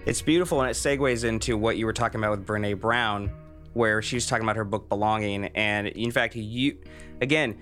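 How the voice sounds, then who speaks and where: A man hosting an interview, speaking earnestly and deliberately in a conversational way. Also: fairly low pitch, fairly bright tone, faint music behind. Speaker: podcast host interviewer.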